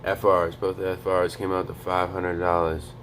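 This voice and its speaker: A young man giving a casual, profane motivational vlog, speaking low and quiet to avoid waking a neighbor. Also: monotone, normal speed, slight background noise. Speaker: vlogger. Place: home in North Dakota.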